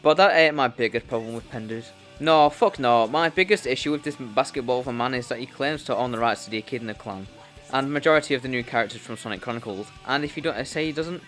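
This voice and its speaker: A man's voice: mid-range voice, a bit bright. A man hosting a YouTube commentary video, talking in a blunt, informal, sweary way as he reads a loose script. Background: music.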